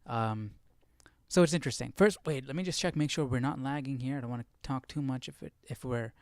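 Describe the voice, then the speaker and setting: A man's voice: medium pitch, brisk, darkish tone, smooth, no room sound, clean studio sound. A man hosting a casual live study session, thinking aloud in a tentative, questioning way. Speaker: livestream Bible study host.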